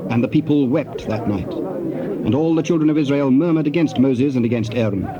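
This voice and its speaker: A man's voice: medium pitch, brisk. A man narrating scripture in a formal scripted style. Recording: muffled, noisy old tape.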